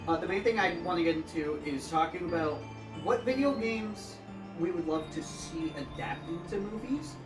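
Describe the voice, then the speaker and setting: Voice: mid-range voice, normal speed, music in the background. A podcast co-host introducing a topic in a hesitant way, full of "uh"s and repeated words. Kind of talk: casual podcast chat. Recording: echoey room, far from the mic.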